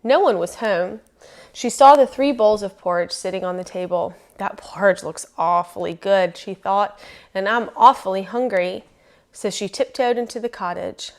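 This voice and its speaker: A woman reading a children's storybook aloud as storyteller, expressive and emphatic, lingering on phrases. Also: mid-range voice.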